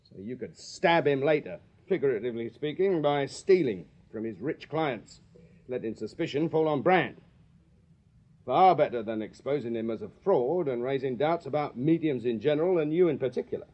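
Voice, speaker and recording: An actor playing a shrewd Victorian police sergeant in a radio drama, English, clipped and plain-spoken with a drily insolent deference. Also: male voice, medium pitch, animated, moderate pace, close to an everyday voice. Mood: knowing, accusing. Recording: slightly dull radio recording.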